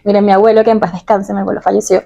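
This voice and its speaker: A woman with a Caracas Venezuelan accent talks casually, as if confiding in her followers. Her voice is mellow and relaxed, with plenty of vocal fry at a medium pitch. She is expressive and giggly, drawing out words for emphasis. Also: brisk, muffled recording. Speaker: vlogger answering personal questions. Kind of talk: casual, chatty personal vlog.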